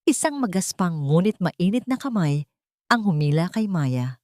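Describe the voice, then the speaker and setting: Female narrator for dramatic audio fiction. Filipino accent, fairly low voice, very little room sound. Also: very animated, measured pace, darkish tone, dry recording, clean studio sound. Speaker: storyteller, narrator. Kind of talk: dramatic scripted audio story narration in Tagalog.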